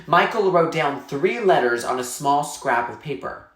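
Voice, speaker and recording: A man's voice: fairly high pitch, animated, unhurried, fairly bright tone. A man narrating a story in a scripted read-aloud style. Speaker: reader reading a novel aloud. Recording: echoey room.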